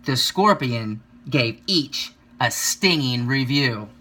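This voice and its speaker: Bright-voiced man reading a children's story. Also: medium pitch, lively intonation, takes it slowly. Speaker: reader of a children's picture book. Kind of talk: children's story read-aloud.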